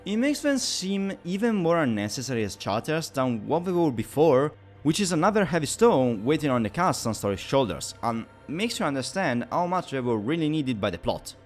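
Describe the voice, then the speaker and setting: A man's voice: a little high, sing-song, fairly quick, few pauses, soft background music. A man delivering a scripted video game review in an explanatory, opinionated way.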